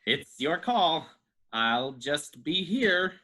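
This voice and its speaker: A woman playing an exasperated, reluctant, deadpan male bird at a radio station in a comedy table read. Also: sits low, very slow, full character voice. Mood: stilted.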